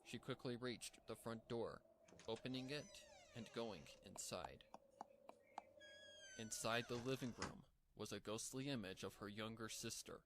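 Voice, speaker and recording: A man's voice: lowish voice, measured pace. A man reading a scripted story as a dramatic narrator, with a noisy background. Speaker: fan fiction narrator.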